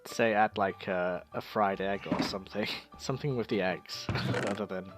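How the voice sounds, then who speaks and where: A man with a croaky voice chatting casually and laughing easily while gaming with friends. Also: fairly low pitch, steady tone, moderate pace, music in the background.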